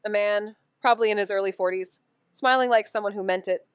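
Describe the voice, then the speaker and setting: A woman's voice: medium pitch, fairly quick, smooth, dry recording, close to an everyday voice. A woman playing an earnest amateur investigator in an audio drama, with a casual, thinking-aloud way of talking. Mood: reflective narration. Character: Amy, eager young woman amateur investigator who narrates. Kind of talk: audio drama dialogue and first-person narration.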